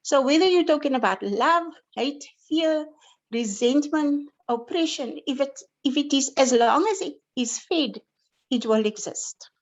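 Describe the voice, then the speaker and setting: A woman's voice: sits high, lively intonation, unhurried. A woman giving a reflective contribution to an online discussion in a searching, self-questioning way. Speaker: discussion participant. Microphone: video call. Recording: dull, lo-fi online call.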